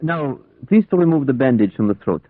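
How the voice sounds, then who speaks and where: Courteous foreign professor, formal phrasing, animated pitch, dry thin radio-play sound. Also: male voice, a little fast, no room sound. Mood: probing, courteous. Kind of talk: vintage radio drama acting. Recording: dry, tinny old radio recording.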